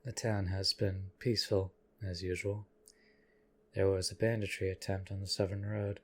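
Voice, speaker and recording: A man playing a stoic, loyal knight in an audio roleplay, speaking formally and deadpan to his liege in measured, courtly phrases. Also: deep voice. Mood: formal reporting. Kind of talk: scripted audio roleplay addressed to the listener.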